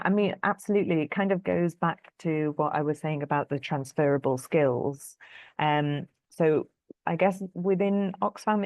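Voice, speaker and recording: A woman's voice: low-pitched, darkish tone, dry recording. A woman in her thirties answering career questions in a webinar, thoughtful and hesitant, with many fillers. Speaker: public health lead answering career questions. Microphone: video call.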